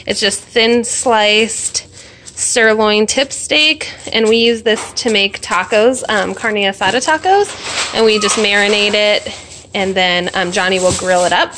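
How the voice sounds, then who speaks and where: A woman's voice: mid-range voice, unhurried, bright, forceful tone, a little hiss or hum. A young woman chatting casually at home in her kitchen, rambling with lots of fillers and self-deprecating asides while filming on a phone. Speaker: vlogger. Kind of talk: casual grocery haul chat.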